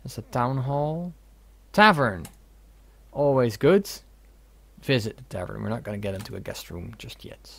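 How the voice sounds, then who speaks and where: A man's voice: mid-range voice, animated, normal speed, gravelly. A young man giving casual let's play commentary, reading game dialogue aloud with jokey asides. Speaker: gaming video host.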